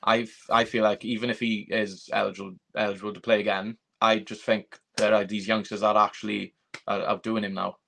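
A man's voice: on the low side, little pitch movement, fairly quick, gravelly, bright, forceful tone, slight background noise. A young man, chatting casually as a guest on a video call from a small room, with many fillers. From Wales. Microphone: video call webcam.

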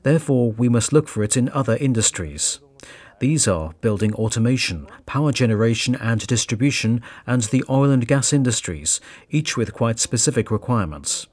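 A man reading scripted narration as a voice-over for a trade show report, in a measured, even delivery. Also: medium pitch. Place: voice-over booth.

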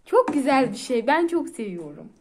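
Young woman, high-ish voice. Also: very animated, moderate pace, husky. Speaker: vlog co-host rating songs. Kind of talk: casual reaction chat. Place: small indoor room at home. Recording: faint music behind. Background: cartoon songs from a phone.

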